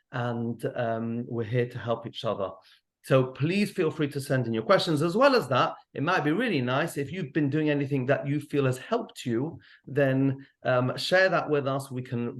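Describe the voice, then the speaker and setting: A man with a Mancunian accent, hosting and speaking gently as a therapist, with a soft, halting delivery and many pauses. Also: medium pitch. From Manchester, England. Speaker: host and therapist. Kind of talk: gentle supportive talk to teens.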